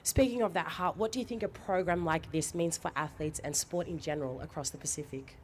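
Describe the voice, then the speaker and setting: A woman presents a live event and puts questions to her guests. Her voice sits a little low, and she speaks in an upbeat, encouraging way. Faint background noise comes through. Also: fairly quick. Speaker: event host interviewing athletes.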